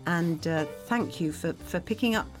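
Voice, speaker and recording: A woman's voice: lowish voice, moderate pace, soft background music. A woman interviewee speaking in a sincere, reflective style.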